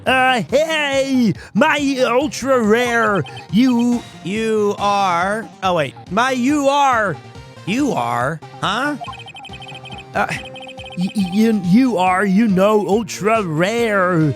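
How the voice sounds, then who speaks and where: A man's voice: high voice, expressive, very slow, fairly bright tone, heavily acted. A man voicing a bratty know-it-all young boy from a video game, with a haughty, lecturing manner. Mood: flustered, scornful. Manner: haughty, lecturing, stammering when flustered. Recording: music behind the voice.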